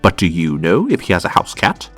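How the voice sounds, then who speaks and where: A man's voice: low-pitched, very animated, brisk, fairly bright tone, husky, faint music behind, exaggerated voice. A man playing a proper, grumbling, tiny Lilliputian police sergeant in a comic dub. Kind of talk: comic dub character acting.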